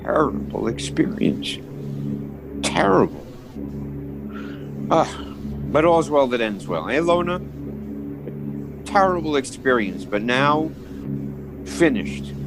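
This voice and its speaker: A man's voice: mid-range voice, very animated, slow pace, pauses often. An actor playing an anxious, blustering Hollywood man, stammering and insistent, in a classic 1940s-style radio drama. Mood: anxious reassurance. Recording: clear engine noise behind.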